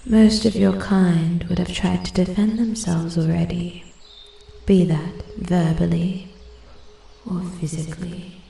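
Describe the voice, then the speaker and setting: A woman voicing an ancient immortal forest spirit, haughty yet intrigued, in a soft-spoken ASMR roleplay, with breathy, formal, measured speech that often drops to a whisper. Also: lowish voice, level tone, echoey room, a little hiss or hum, full character voice. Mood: intrigued, condescending. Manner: slow, measured, formal and condescending. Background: ambient forest sounds, birdsong.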